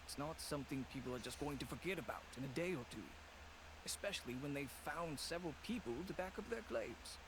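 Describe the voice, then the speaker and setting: Pragmatic middle-aged father and merchant, slightly high, expressive, ambient game background. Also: background noise. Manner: measured, advising. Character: middle-aged male shop owner and father, cautious and pragmatic.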